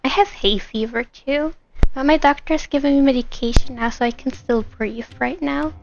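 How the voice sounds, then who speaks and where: A woman's voice: high-pitched, moderate pace, vocal fry, muffled recording. A giggly woman chatting casually with viewers while she livestreams a game. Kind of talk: casual chat with viewers during gameplay. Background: game music.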